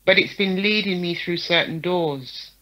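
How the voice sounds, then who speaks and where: A woman promoter talks frankly as an interview guest, often tossing in "right?" and "yeah?" to keep her listener with her. Her voice is bright and forceful. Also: lowish voice, a little slow, rough recording. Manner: frank, lists names, checks in with "yeah?" and "right?". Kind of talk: casual interview talk.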